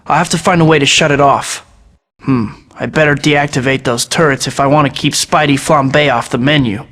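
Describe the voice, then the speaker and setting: An actor voicing a young masked superhero in a video game, talking himself through each task with wry jokes. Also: male voice, mid-range voice, steady tone, normal speed, a bit bright, long reverb tail, close to an everyday voice. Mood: wisecracking. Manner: wry, joking asides to himself. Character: young male masked web-slinging superhero, wisecracking. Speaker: video game hero voice clips. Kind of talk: game voice-over lines.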